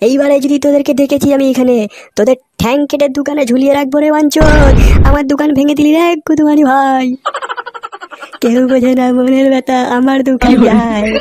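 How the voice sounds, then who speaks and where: A man voicing a grumpy, excitable tea-stall owner in a comedy cartoon, with slangy speech and a pitched-up effect. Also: brisk. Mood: threatening, wailing. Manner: scolding, wailing, slangy.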